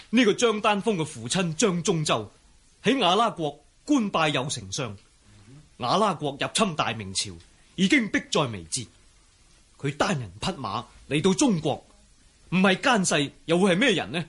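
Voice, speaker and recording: Insistent young outlaw, slightly husky. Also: male voice, on the high side, big pitch swings, normal speed, fairly bright tone, heavily acted. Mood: urgent, accusing. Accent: Cantonese. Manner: insistent, deferential, declamatory. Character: earnest, accusing younger male outlaw fighter. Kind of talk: Cantonese wuxia radio drama acting.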